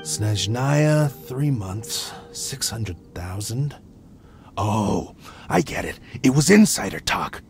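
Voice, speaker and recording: A man's voice: fairly low pitch, very animated, slow pace, faint music behind, full character voice. An actor playing a nervous male bandit underling in a video game, stammering and jittery. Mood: explaining. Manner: stammering, jittery, then knowing.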